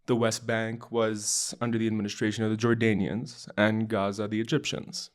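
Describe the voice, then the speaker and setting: A young man with a lowish voice gives a loose, unscripted explainer on political history. He keeps things casual and leans on fillers like "kinda" and "right" as he goes. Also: level tone, moderate pace. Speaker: podcast host.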